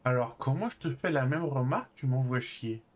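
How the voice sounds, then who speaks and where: A man acts out a reserved man in an online roleplay game. His voice sits at an ordinary middle pitch. He speaks casually and hesitantly, often leaving sentences unfinished. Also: lively intonation, a little mellow, lightly acted. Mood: gently teasing. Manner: hesitant, trailing off, halting. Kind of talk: improvised in-character roleplay chat.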